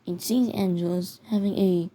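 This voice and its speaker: A speaker narrating a homemade scenario video in a halting way, often repeating words. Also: medium pitch, fairly even intonation, dark tone. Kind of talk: amateur narration of a mock emergency alert scenario video. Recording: noticeable background noise.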